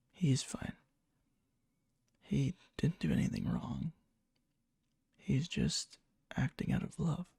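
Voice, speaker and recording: A man playing a needy yandere young man confessing love to a friend in a hushed, halting ASMR roleplay, often slipping into a whisper, breathy and gravelly. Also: mid-range voice, dry recording, clean studio sound, full character voice. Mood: hushed, defensive. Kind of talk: ASMR boyfriend roleplay, soft intimate storytelling. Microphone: close mic, dry.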